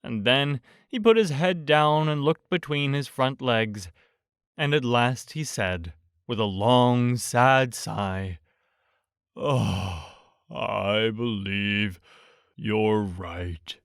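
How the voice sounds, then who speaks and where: Slow, expressive male bedtime-story narrator. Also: medium pitch, clear, resonant tone, no room sound, studio quality. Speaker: audiobook narrator.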